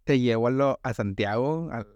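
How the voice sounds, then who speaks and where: Casting: young Monterrey man, podcast co-host, smooth clear voice, rambling and slangy, dry close mic. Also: medium pitch, moderate pace, darkish tone, no room sound, muffled recording. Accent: northern Mexican (Monterrey). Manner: slangy, rambling, says "güey" constantly. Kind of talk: casual chat.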